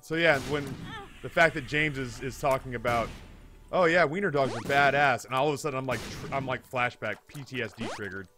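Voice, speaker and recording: Man, thirties, mid-pitched voice, streamer storytelling, animated and sweary, music behind. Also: a little fast. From United States. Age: 30s. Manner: animated, hyperbolic, profane. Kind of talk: casual comedic storytelling on a live stream. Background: background music.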